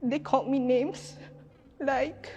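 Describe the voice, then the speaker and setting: A young woman shares personal stories on a discussion panel. Her voice sits high and she speaks with earnest sincerity. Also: steady tone, slow pace, darkish tone. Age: 20s. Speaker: lecturer.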